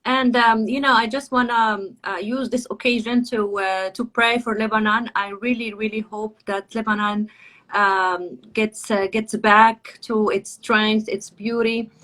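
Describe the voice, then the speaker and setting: A woman hosts a casual live video chat. Her voice sits high and has a bright, forward edge. She talks in an effusive, gushing way. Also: steady tone, measured pace. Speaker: talk host.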